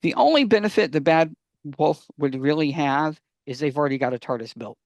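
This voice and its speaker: A man with a slightly high voice co-hosts a casual fan discussion. He talks conversationally, straight to the listeners. The recording is very dry, with no room sound around him. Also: expressive, brisk, a little mellow, no echo. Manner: conversational, addresses listeners directly. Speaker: podcast co-host.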